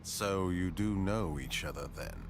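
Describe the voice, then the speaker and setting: Wish-granting game villain: deep, deliberate, mock-courteous delivery with a sly, knowing edge. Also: male voice, background noise. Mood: smugly formal. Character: sly, sinister male wish-granting showman, smugly polite. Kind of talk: game cutscene voice acting.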